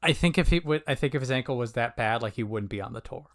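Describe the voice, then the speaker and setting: A man's voice: mid-range voice, animated, quick pace, dry recording, studio quality. A man co-hosting a talk show, chatting in an easygoing, joking and colloquial way. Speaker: sumo talk show co-host. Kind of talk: casual chat.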